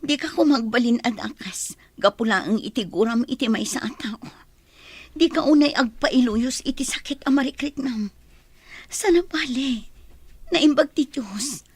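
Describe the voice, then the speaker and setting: An anguished young wife in a radio melodrama. Her voice is high and recorded dry, and she pleads in a worried way as she deals with a violent husband. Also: unhurried, darkish tone, slightly rough, no room sound. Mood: pleading. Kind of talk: melodramatic radio drama acting.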